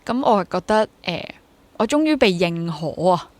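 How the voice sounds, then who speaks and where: A woman's voice: fairly low pitch, big pitch swings, a little slow. A woman in her twenties with a Hong Kong accent, talking conversationally as an interview guest and dropping in English words. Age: 20s. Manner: mixes English words into Cantonese. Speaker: interview guest, freelance stage actor. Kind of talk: conversational interview, sharing personal experience.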